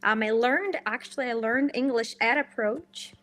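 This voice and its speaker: A woman's voice: on the high side, moderate pace, a bit bright. A woman in her twenties from Brazil with a Brazilian Portuguese accent, a student guest telling her story cheerfully in a casual interview, with many ums. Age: 20s. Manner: cheerful storyteller with frequent ums and light laughs.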